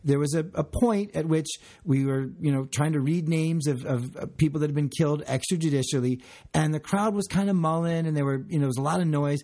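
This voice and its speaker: A man co-hosting a discussion, talking passionately and emphatically, with a loud voice. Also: fairly high pitch, fairly quick. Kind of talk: casual discussion.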